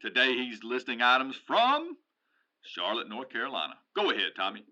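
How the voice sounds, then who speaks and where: A man's voice: mid-range voice, huge pitch swings, moderate pace, bright, forceful tone, dull audio, heavily acted. A man playing a small-town AM radio announcer who introduces a swap shop call-in show. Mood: brisk, announcing. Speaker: radio host.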